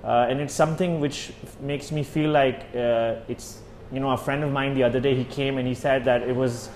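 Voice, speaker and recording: A man in his thirties from Pakistan, an Urdu speaker, telling his own story in an interview, pausing often with fillers and restarts. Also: mid-range voice, steady tone, darkish tone. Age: 30s. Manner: hesitant, many fillers and restarts. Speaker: exiled journalist. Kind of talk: personal testimony interview. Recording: roomy with faint ambience.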